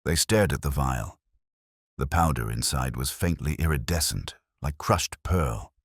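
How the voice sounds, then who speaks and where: A man narrating a mystery story in a measured, scripted storytelling delivery. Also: extremely low pitch, lively intonation, breathy edge, no room sound, studio quality.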